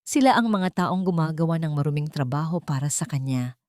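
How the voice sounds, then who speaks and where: A woman's voice: sits low, lively intonation, fairly quick, darkish tone, dry recording, studio quality. A woman reading a scripted, dramatic first-person audio story as its narrator.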